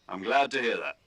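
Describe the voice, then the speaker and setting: A man playing a brisk army major who briefs his agent with crisp authority in a video game. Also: deep voice, sharp tone, exaggerated voice. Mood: wry.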